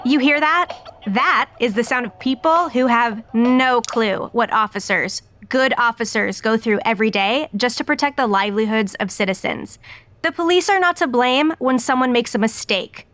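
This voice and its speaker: A woman's voice: on the high side, animated, moderate pace, fairly bright tone, faint music behind. A woman playing a stern, partisan talk show host with an assertive, pointed delivery in an audio drama. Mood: indignant, stern.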